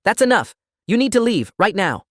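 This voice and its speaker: A man's voice: medium pitch, fairly quick, fairly bright tone, no room sound, studio quality, close to an everyday voice. A man voicing a firm, authoritative female gym manager in an audiobook story. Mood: commanding.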